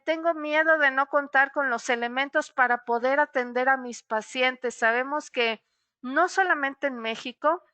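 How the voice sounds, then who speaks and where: A woman's voice: high voice, pushed and bright, dry recording. A middle-aged psychologist woman giving a webinar talk straight to the listener, explaining patiently with frequent "eh" fillers.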